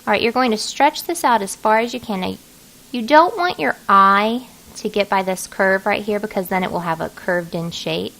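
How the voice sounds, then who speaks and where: A woman narrating an informal software tutorial, talking casually and thinking aloud as she works. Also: medium pitch, moderate pace, creaky. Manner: casual, folksy, thinking aloud while working. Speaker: craft design software tutorial host.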